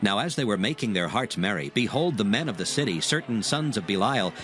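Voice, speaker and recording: Expressive male scripture narrator. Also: on the low side, a little fast, soft background music.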